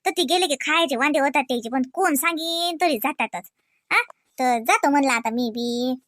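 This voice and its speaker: A woman's voice: high voice, moderate pace, a bit bright, no room sound, clean studio sound. A woman voicing a gossipy, rambling village grandmother in a comedy cartoon, speaking colloquial Marathi with a rural Varhadi accent from Vidarbha. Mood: explaining. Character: chatty village grandmother grumbling about family, fond of grandchildren.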